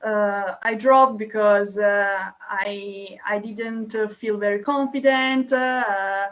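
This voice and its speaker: Slow-talking young Italian woman. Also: mid-range voice, steady tone, fairly bright tone. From Italy. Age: young adult. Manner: hesitant, with very frequent filled pauses. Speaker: podcast guest. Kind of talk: casual interview talk.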